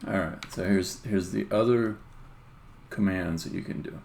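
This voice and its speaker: A man talks casually through his work as a game developer on a live stream. His voice is on the low side with heavy creak, and his explanations ramble and halt. Faint noise sits behind him. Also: moderate pace, a little mellow. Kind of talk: casual live-coding commentary.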